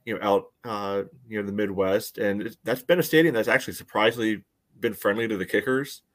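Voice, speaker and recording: Lowish voiced guy doing casual sports podcast talk, kinda halting, lots of you knows. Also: fairly bright tone. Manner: hesitant, filler-heavy phrasing with frequent "you know". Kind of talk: casual soccer analysis chat.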